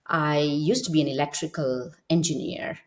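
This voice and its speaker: Low-voiced woman, earnest storyteller. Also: steady tone, a little mellow. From India. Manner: earnest, reflective, measured. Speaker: guest, children's author. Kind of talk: casual interview storytelling.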